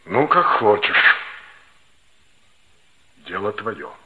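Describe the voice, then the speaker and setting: A man's voice: fairly low pitch, sing-song, very slow, full character voice. An actor playing a stern, blunt but fair male teacher, admonishing emphatically in a 1960s Soviet radio play. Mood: firm, dismissive. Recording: dull, slightly noisy old radio recording.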